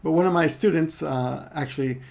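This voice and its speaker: A man's voice: a little high, brisk, vocal fry, darkish tone. Rambling middle-aged man teaching Japanese. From Peru. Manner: rambling, self-correcting, joking asides. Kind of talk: casual video lesson.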